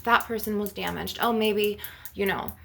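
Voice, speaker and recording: A woman with a creaky voice speaking as a spiritual healer in an ASMR session, earnest and instructive in a conversational way. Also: mid-range voice, fairly even intonation, moderate pace, sharp tone. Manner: earnest, instructive, often adding "you know" and "okay". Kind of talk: spiritual ASMR healing session with rambling talk.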